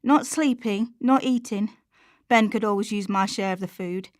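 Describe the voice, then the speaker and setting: A woman's voice: medium pitch, moderate pace, no echo, clean studio sound, close to an everyday voice. A woman playing Chantelle, an anxious struggling mother confiding in a radio play monologue. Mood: anxious, despairing. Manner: confiding, plain-spoken, colloquial.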